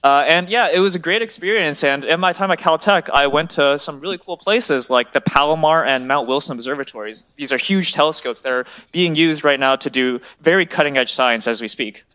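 A young male graduate student speaks to the public in a large lecture hall. His voice sits a little high for a man, and his delivery is informal and enthusiastic, like an eager explainer. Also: fairly quick, few pauses, bright, forceful tone. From China and the US. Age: 20s. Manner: enthusiastic, checks in with "right?".

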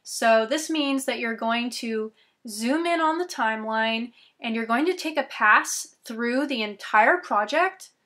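Slow, bright-voiced young woman explaining step by step. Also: on the high side. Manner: slow, step-by-step instructions, chatty asides. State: losing her voice. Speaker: YouTube tutorial host. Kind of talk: screen-recorded software tutorial. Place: small bedroom.

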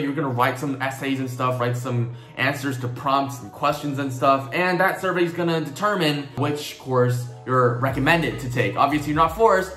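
A man's voice: mid-range voice, fairly bright tone, roomy sound. A young man, a student whose first language is Korean, chatting in an upbeat, rambling way while explaining things, with fillers along the way. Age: young adult. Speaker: student vlogger. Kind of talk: casual explainer vlog.

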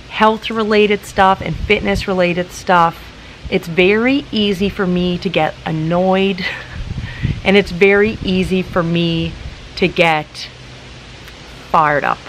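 A woman in her late thirties talking calmly and practically to camera, chatty and casual, recorded outdoors in a garden with some wind. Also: mid-range voice, takes it slowly, pauses often, poor audio. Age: late 30s. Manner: calm, practical, chatty with many likes. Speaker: YouTuber. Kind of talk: casual vlog talk to camera. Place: outdoors in a garden in front of hedges.

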